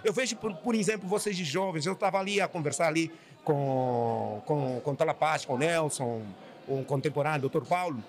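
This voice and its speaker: Angolan male interviewee, fairly high voice, emphatic, halting delivery that repeats words. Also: soft background music. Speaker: interviewed community member. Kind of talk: spontaneous on-camera interview. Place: indoor hall with draped curtains. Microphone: handheld interview microphone held by interviewer.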